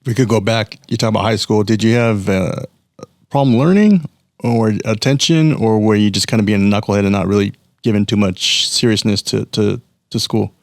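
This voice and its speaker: A man hosting a podcast interview, asking casual, halting questions. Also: on the low side, no echo, clean studio sound. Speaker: podcast host.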